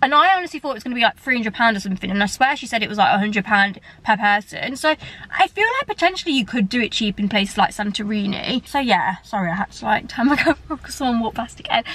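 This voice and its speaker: A woman's voice: medium pitch, sharp tone. A woman, chatting candidly to her viewers from a parked car. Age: 30s. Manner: chatty and candid, gesturing with both hands. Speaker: vlogger. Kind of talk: casual chat to camera.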